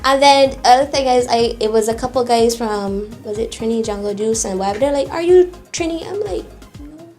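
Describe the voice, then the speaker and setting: A woman's voice: fairly high pitch, a little fast, faint music behind. A woman singer with a Barbadian accent, chatting casually in an interview and telling stories with a laugh. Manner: lively, laughing storyteller.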